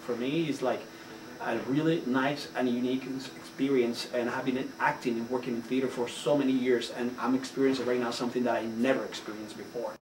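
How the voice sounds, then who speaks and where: A man, a playwright, giving earnest interview answers, often searching for words and repeating himself. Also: mid-range voice. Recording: roomy, distant mic, noisy ambience.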